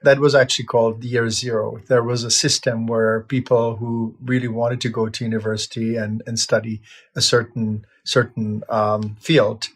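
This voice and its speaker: A man in his fifties who grew up in Czechoslovakia, talking as a vet about how he came to his work. He tells it casually and reflectively, stopping often on "uh" and starting phrases over. His voice sits at an ordinary middle pitch for a man. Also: flat delivery, moderate pace, breathy edge. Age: 50s. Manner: reflective, with frequent "uh" fillers and restarts. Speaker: veterinarian sharing his life story. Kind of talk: casual personal storytelling.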